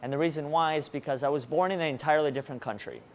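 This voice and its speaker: A man's voice: a little high, very fast. A man born in Russia giving a motivational presentation to a live audience, engaging the listeners with questions. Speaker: motivational speaker.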